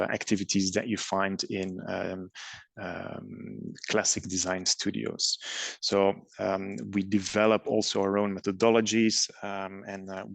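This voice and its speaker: A middle-aged man from Belgium giving a presentation on design, pausing often with ums and uhs. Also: deep voice, vocal fry, a bit bright, no room sound. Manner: hesitant, many ums and uhs. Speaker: design studio head and master's coordinator. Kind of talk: presentation talk with slides.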